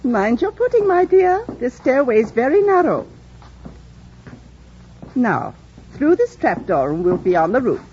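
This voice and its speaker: A woman's voice: a little high, big pitch swings, a little slow, pauses often, warm tone, a little hiss or hum. An actress playing a kindly, fussing older housekeeper in a vintage radio drama, motherly, genteel and chatty. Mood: gentle. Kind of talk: 1940s-50s radio drama acting. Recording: dull vintage radio recording.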